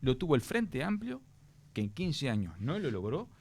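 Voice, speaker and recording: A middle-aged Uruguayan man speaking in an earnest, explanatory way, often restarting his sentences. His pitch rises and falls a lot as he makes his points. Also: sing-song, normal speed, a little mellow. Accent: Uruguayan Spanish. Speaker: education guest. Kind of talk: radio interview answers.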